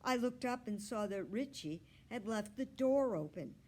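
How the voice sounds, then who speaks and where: A woman storyteller reading a children's book aloud with expressive, deliberate narration. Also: fairly high pitch.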